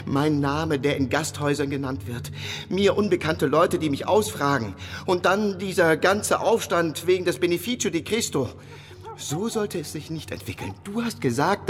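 A man's voice: on the high side, natural voice. An actor playing a fearful, faint-hearted clergyman and devoted disciple in a German radio drama, hesitant and worried in manner.

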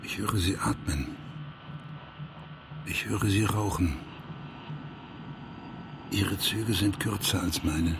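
An actor playing a brooding East German writer, terse and awkward, speaking in halting, clipped phrases in a literary audio drama. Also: male voice, sits low, vocal fry, background noise, heavily acted.

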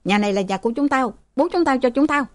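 A woman's voice: high-pitched, expressive, quick pace, no echo, voice pushed up. A woman reading aloud, voicing a curt, defiant young man. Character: glaring young man, possessive and rude.

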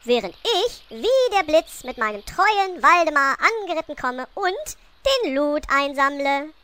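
A woman's voice: high voice, expressive, measured pace, pushed and bright, exaggerated voice. A woman playing a haughty, bossy queen bent on world domination in a parody audio drama. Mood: scheming.

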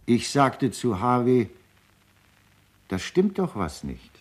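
An insistent male witness in a radio drama, with a husky mid-pitched voice. His intonation swings very widely as he presses his points emphatically. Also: very animated, slow pace. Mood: grave, urging.

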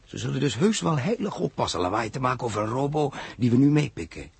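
An actor playing a decisive, impatient and persuasive space crew leader in a 1960s radio drama. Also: male voice, mid-range voice, sing-song, quick pace, vocal fry, slightly rough, full character voice. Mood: urgent, persuasive. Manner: commanding, explanatory.

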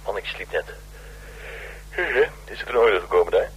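A man acts a sleepy, informal intelligence colleague. His voice is on the low side and his manner is blunt. It comes from a radio drama with slight noise on the recording. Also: moderate pace, highs cut off, lightly acted. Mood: groggy, curious. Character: male intelligence colleague woken by a phone call.

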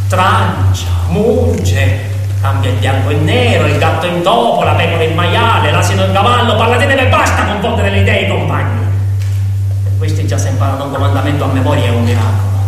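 An actor playing a scornful, bullying male pig demagogue haranguing his comrades with sneering rhetorical questions in a radio play. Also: high voice. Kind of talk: theatrical radio drama monologue. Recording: very echoey hall, distant, music. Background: music.

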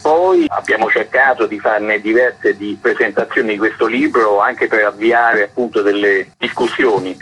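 A man speaking informatively as an author and guest on a radio programme, with a husky, sharp-edged voice. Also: mid-range voice, poor audio. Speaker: author presenting his book as radio guest.

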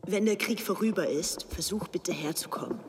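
A woman's voice: lowish voice, moderate pace, noisy background, close to an everyday voice. An actress playing an infatuated young upper-class Englishwoman in a radio play, breathless and confiding. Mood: worried, subdued.

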